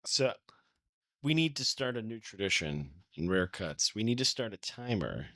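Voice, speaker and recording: A man's voice: on the low side, very animated, normal speed, pushed and bright. A man co-hosting a podcast in casual banter, with a teasing, wry way of talking. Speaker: podcast co-host.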